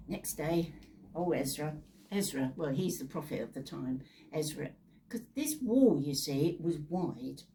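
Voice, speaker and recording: A woman's voice: fairly low pitch, dark tone, slight background noise. A British woman telling Bible stories in a chatty, informal way, with theatrical asides and rhetorical questions. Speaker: storyteller.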